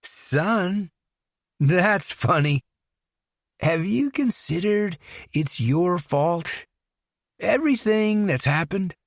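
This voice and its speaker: A man's voice: sits high, animated, extremely slow, long pauses, no room sound, clean studio sound, voice pushed up, full character voice. A man voicing an unhinged, menacing young man who slips into a put-on English accent. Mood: mocking menace.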